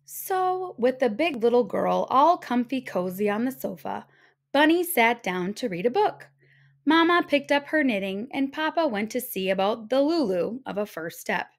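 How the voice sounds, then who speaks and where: A woman reading a children's storybook aloud, narrating in an expressive, deliberate manner. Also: on the high side. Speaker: storybook reader.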